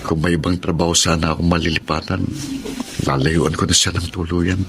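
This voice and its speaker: A man's voice: low-pitched, flat delivery, brisk, noisy background. A man playing an earnest, respectful young married worker in a Filipino drama, speaking hesitantly and politely with a Filipino accent. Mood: troubled. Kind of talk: Tagalog radio-style drama acting.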